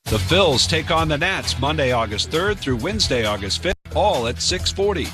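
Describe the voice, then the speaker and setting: A man's voice: a little high, lively intonation, moderate pace, over loud music. An American man giving live baseball radio commentary and reading promos. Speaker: baseball radio play-by-play announcer and analyst.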